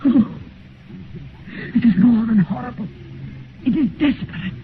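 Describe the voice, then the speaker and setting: An actor playing a vain, corrupt, ageless English gentleman in a theatrical, taunting manner in a 1950s radio drama. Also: very high voice, measured pace. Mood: anguished. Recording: noisy, muffled 1950s radio recording.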